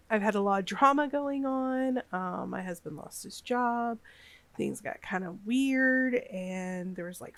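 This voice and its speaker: A woman's voice: mid-range voice, no echo. A woman in her thirties with a slight cold, rambling hesitantly with many fillers in a casual voiceover over a game build. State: has a cold, a bit sniffly. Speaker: gaming YouTuber.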